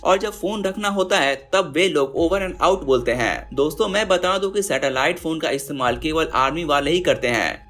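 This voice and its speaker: Indian guy hosting an explainer vid, slightly high voice, talks to viewers like friends, drops english words. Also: fairly bright tone. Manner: addresses viewers as friends, mixes English terms into speech. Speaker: explainer video host. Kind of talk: scripted explainer narration.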